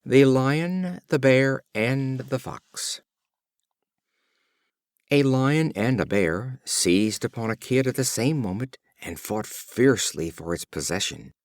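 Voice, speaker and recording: A man narrating fables from a script as an audiobook reader, in an expressive, theatrical storytelling manner. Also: medium pitch, takes it slowly, dry recording, clean studio sound.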